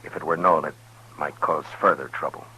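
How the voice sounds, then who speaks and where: An actor playing a grave, weary frontier lawman in 1950s radio western style, speaking with restraint and deliberate pauses. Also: extremely low pitch, steady tone, pushed and bright, breathy edge, exaggerated voice, low-quality recording. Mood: grave, earnest. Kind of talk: 1950s radio western acting with first-person narration. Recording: 1950s radio broadcast with music.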